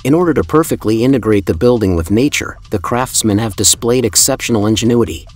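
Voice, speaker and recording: A man reading a scripted documentary voice-over in a formal, admiring, evenly paced way. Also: mid-range voice. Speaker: narrator. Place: voice-over, not the pictured building site. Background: soft background music.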